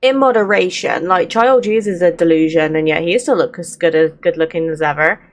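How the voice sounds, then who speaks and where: Low-voiced woman casually commentating a game. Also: moderate pace, slight background noise. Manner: chatty, informal. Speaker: gaming channel host.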